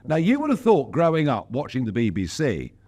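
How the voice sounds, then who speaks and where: A man's voice: mid-range voice, huge pitch swings, fairly quick, mellow, breathy edge. A man being interviewed as a politician, speaking in an emphatic, outspoken way with wry asides. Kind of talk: casual interview talk.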